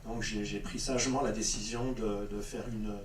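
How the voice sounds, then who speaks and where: A man's voice: fairly low pitch, fairly even intonation, moderate pace. A middle-aged man, a film director, answering audience questions at a screening with many fillers and restarts. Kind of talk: spontaneous Q&A answers. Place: cinema hall. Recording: echoey cinema hall, distant mic, faint noise.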